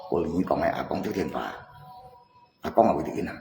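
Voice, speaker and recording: Casting: male livestreamer, agitated and casual, widely swinging pitch, long reverb with music behind. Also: lively intonation, fairly quick, vocal fry.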